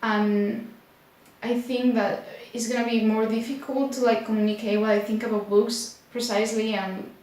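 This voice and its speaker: A young woman from Spain speaking English with a Spanish accent, chatting casually to camera in an unhurried way, full of fillers like "like". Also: fairly high pitch, little pitch movement, a little mellow. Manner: unhurried, many filler likes, slightly unsure. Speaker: booktuber. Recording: very echoey room.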